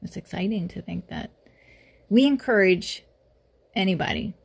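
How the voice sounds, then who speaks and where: Gravelly woman gently steering the chat. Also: medium pitch, moderate pace. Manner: steering, calm, gently prompting. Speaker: podcast host. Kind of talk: casual faith chat.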